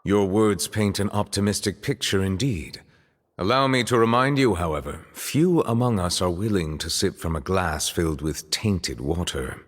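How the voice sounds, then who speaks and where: Deep, dignified high official. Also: male voice, lively intonation, moderate pace, long reverb tail. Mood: skeptical. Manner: formal, courteous, grave. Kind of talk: video game voice acting.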